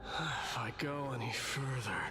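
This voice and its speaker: An actor playing a grave, stoic, resolute warrior lord in a video game, speaking formally and in measured phrases. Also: male voice, medium pitch, over music, natural voice. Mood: solemn, resolute. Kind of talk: dramatic video game voice acting.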